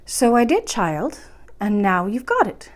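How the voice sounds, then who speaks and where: A woman voicing a kindly, serene, magical grandmother in a storybook, speaking calmly and patiently as she explains. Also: mid-range voice, a little slow. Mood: tender. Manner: calm, patient, instructive. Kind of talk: storybook character voice in a read-aloud.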